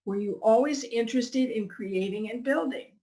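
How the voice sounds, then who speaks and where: A woman hosting a podcast, reading a scripted introduction and asking interview questions in a measured, clear way. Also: fairly high pitch, dark tone. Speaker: podcast host.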